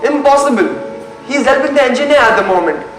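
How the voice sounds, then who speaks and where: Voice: sits high, lively intonation, quick pace. A teenage student acting a courteous, flustered male railway company director in a school stage play. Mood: harried. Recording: echoey stage recording, faint music.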